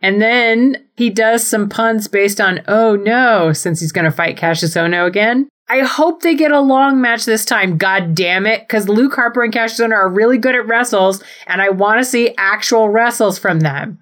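A woman's voice: mid-range voice, normal speed, hardly any pauses, fairly bright tone. A woman co-hosting a podcast, giving a casual, comedic recap with playful, wordy descriptions. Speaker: podcast co-host.

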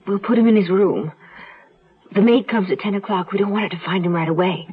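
Actress as a husky, guarded woman, muffled radio drama. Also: medium pitch, fairly quick, lightly acted. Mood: urgent, controlled. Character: guarded wife calmly covering up a killing. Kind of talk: 1970s radio drama acting. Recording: dull lo-fi radio drama recording.

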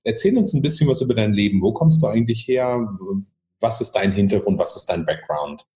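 A man's voice: on the low side, expressive, fairly quick, warm tone. A man hosting a podcast in a conversational way, repeating words as he feels for his phrasing, in an echoey recording. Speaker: podcast host. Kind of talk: conversational interviewing. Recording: roomy, echoey sound.

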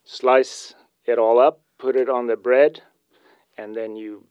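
A man with a South African accent, a native Afrikaans speaker, calling in to share a recipe in a relaxed, halting way. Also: medium pitch, clean tone, no room sound, muffled recording. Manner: relaxed, halting, repeats words. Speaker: winery guest calling in. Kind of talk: casual recipe chat.

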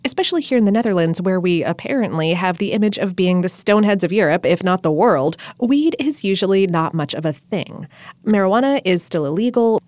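A woman co-hosting a food podcast, enthusiastic and quick to laugh, sometimes stumbling over her words. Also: medium pitch, a little mellow, no echo. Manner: enthusiastic, laughs often, a little halting. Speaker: podcast co-host. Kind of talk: casual chat.

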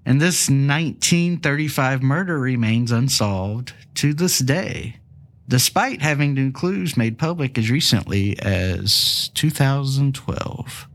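A man's voice: medium pitch, lively intonation, slow pace. A man hosting a true crime podcast, sounding stuffy from a cold, telling the case with casual, jokey asides. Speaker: podcast host.

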